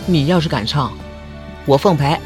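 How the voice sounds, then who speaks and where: A man's voice: fairly high pitch, moderate pace, close to an everyday voice. A man playing a shy university student in love, earnest and dreamy in an audio drama. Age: young adult. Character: lovestruck shy male university student, secretly pining. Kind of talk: audio drama acting with inner monologue. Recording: voice over background music.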